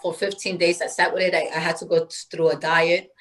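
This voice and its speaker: A woman's voice: fairly low pitch, brisk. A woman from Peru, a guest telling her story in an earnest, spiritual, conversational way. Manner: earnest, spiritual, animated, acts out quoted voices.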